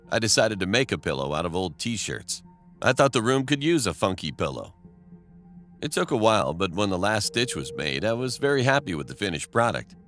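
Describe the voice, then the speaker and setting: A man's voice: low-pitched, a bit bright. A man narrating a scripted first-person horror story as a storyteller.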